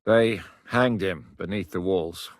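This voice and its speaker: An actor playing a blunt, battle-hardened middle-aged mercenary commander in a video game, coarse-spoken with a dry wit. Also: male voice, deep voice, takes it slowly, dry recording. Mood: formal, grateful. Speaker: military commander. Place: studio booth. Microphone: close studio mic.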